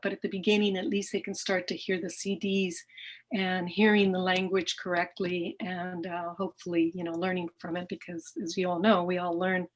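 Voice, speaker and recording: A middle-aged woman giving an earnest presentation to a club about her nonprofit's work, with many fillers and restarts. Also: fairly low pitch. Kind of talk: presentation talk with slides.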